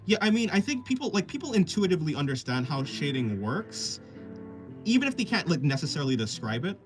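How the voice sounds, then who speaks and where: Man explaining art over music, lively pitch. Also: a little fast. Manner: rambling, restarts explanations often. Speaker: artist streamer. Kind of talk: casual live-stream chat while drawing.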